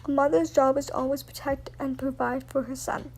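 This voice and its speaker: A woman voicing a vengeful mother in a horror story, calm and unhurried as she taunts. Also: high voice, rough recording. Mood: calm menace. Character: cloaked vengeful mother, calm and quietly menacing.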